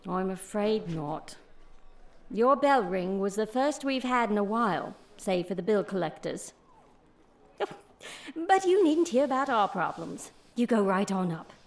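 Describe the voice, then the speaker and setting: Casting: homely, talkative Victorian housekeeper, middle register, fond and familiar, radio-play style. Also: female voice, medium pitch, lively intonation, measured pace. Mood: confiding. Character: chatty, fond Victorian London housekeeper woman. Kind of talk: audio drama acting.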